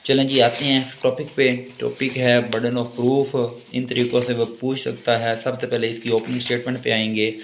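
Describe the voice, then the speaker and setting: A man with a Pakistani accent giving an exam-focused law lecture, reading notes deliberately and explaining them informally with repetition. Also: mid-range voice, a bit bright. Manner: reads English passages slowly and deliberately, then explains informally with repetition. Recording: echoey room.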